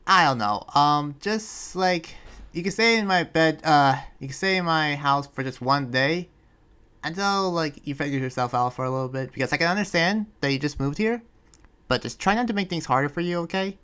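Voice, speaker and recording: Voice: mid-range voice, animated, moderate pace, a bit bright, clean tone, close to an everyday voice. A performer improvising a polite, awkward young villager in a Minecraft roleplay, talking in a hesitant, rambling way. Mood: weary, casual.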